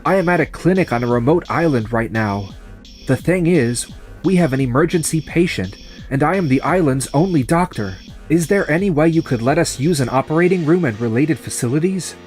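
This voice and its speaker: A man playing a calm, resolute young surgeon turned farmer in a manga dub, speaking in a measured, firm way. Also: fairly high pitch, faint music behind, lightly acted. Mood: calm, decisive.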